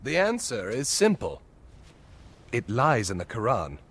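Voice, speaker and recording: A measured, husky elder. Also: male voice, medium pitch, huge pitch swings, fairly bright tone, full character voice. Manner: measured, respectful, persuasive.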